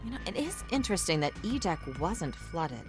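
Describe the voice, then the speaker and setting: An actress playing an inquisitive, self-assured, teasing young woman in a visual novel game, with expressive delivery. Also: lowish voice, a little fast, music in the background. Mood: intrigued. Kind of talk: dramatic visual novel voice acting.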